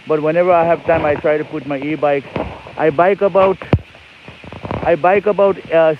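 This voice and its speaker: A man from Nepal, chatting cheerfully and rambling while he livestreams from an e-bike outdoors on his phone. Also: a little high. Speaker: livestream host. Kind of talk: casual livestream chat. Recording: lo-fi phone stream with loud outdoor noise.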